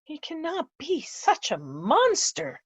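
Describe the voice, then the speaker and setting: A woman's voice: high-pitched, wildly swooping pitch, slow pace, a bit bright, no echo, exaggerated voice. A woman playing an aging, credulous earl and easily outraged father in a theatrical Shakespearean drama reading. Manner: theatrical, exclamatory.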